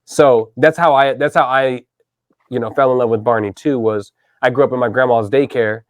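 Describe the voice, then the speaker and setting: A man's voice: medium pitch, steady tone, moderate pace, no room sound, clean studio sound. A man in his thirties hosting a casual podcast interview, enthusiastic and chatty, with lots of "dude" and "man". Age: 30s. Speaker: podcast host.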